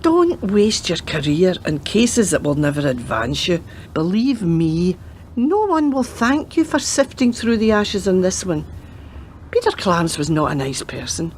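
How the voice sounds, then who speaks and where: A woman's voice: on the low side, very animated, a little slow, a little mellow, a little hiss or hum. A woman playing a pragmatic retired policewoman and grandmother in a radio play, giving matter-of-fact, emphatic advice. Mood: cautioning.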